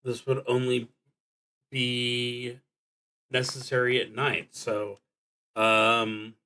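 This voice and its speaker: A man streaming a solo tabletop roleplaying game, thinking aloud casually and often pausing mid-sentence. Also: mid-range voice, completely flat delivery, pushed and bright, smooth, low-quality recording. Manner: halting, with frequent pauses mid-sentence.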